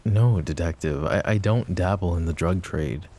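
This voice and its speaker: Guy doing a possessive mafia boss, super deep and low, close intimate asmr talk. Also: warm tone, a little hiss or hum, natural voice. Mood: measured. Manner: low, measured and intimate, speaking to an unheard listener. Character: possessive young adult male mafia boss, guarded. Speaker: voice actor. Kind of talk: boyfriend ASMR roleplay.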